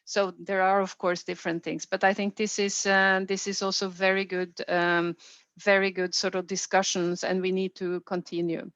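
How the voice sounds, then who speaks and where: A woman with a Norwegian accent gives unscripted formal remarks as an official at a meeting, choosing her phrasing deliberately and stressing key words. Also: mid-range voice, little pitch movement, fairly bright tone. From Norway. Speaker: health organisation official leading a consultation. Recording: roomy, dull band-limited meeting audio.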